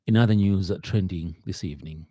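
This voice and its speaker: A man's voice: low-pitched, fairly quick, mellow, no room sound, studio quality. A man with a Zambian accent reading a scripted radio news bulletin, with occasional hesitations. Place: radio studio.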